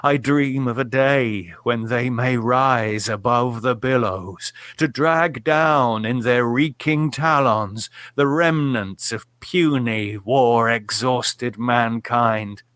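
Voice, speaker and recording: A man performs a tormented, doomed man recounting a horror tale in a theatrical, literary manner. The voice is bright and forceful, delivered a touch slowly. The recording is close and dry, as in an audio drama. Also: medium pitch, clean tone, dry recording. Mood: ominous dread. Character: tormented male narrator of a horror tale, morphine addict, doomed.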